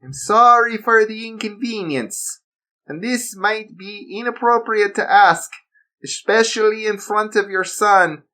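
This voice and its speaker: A man voicing a menacing villain boss with a put-on German accent, slow and mock-polite. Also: high-pitched, bright, forceful tone, voice pushed up. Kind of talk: amateur storytelling.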